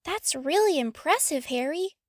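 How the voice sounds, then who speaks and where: A woman's voice: high-pitched, expressive, moderate pace, no room sound, studio quality. A woman voicing a curious, friendly and helpful schoolgirl in a storybook reading. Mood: impressed, hesitant.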